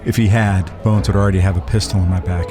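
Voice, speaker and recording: An actor playing a cool, cocky, laconic hustler in a hardboiled period radio drama. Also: male voice, deep voice, fairly even intonation, fast, over music, lightly acted. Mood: low-key, guarded. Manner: laconic, wisecracking. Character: wry, cool-headed Prohibition-era male hustler, cornered but cocky.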